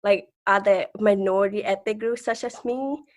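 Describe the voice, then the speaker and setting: A woman in her twenties, raised in a refugee camp in Thailand, speaking with a Karenni accent as a podcast guest. She talks hesitantly, restarting her sentences and laughing softly between points. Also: medium pitch, steady tone, moderate pace. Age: 20s. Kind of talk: conversational interview.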